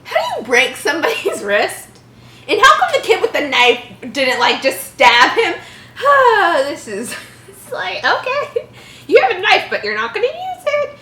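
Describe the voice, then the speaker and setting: A woman in her early twenties reading a story comedically, animated, with casual mocking commentary. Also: very high voice, measured pace. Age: early 20s. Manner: giggly, mocking asides, animated. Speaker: channel host. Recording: roomy echo, faint background noise.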